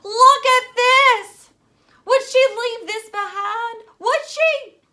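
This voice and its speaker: A woman's voice: very high-pitched, very slow, sharp tone, voice pushed up, heavily acted. A woman voicing an upset young girl from a children's novel, insistent and emphatic in protest. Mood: frantic, outraged.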